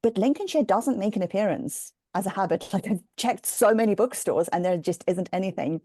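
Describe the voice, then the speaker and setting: A woman's voice: medium pitch, lively intonation, a little fast, warm tone, no echo. A young woman from London talking articulately as a podcast guest about her research. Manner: articulate, some fillers. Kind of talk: casual podcast chat.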